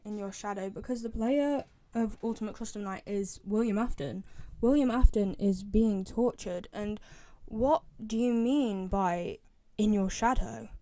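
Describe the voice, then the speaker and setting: Young female video creator, slightly high voice, informal and chatty, sharing opinions as a fan. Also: warm tone. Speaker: video creator reviewing game voice lines. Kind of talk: casual fan commentary.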